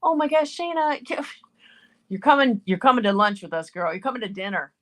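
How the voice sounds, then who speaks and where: A woman hosting a casual talk show, her voice a little high. She reacts quickly to her guest and cheers the listeners on to act. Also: big pitch swings. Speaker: host. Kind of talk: casual chat.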